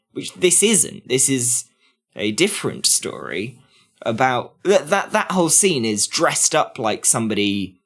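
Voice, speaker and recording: A man in a casual review discussion as a podcast panelist, halting as he searches for words. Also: lowish voice, a bit bright.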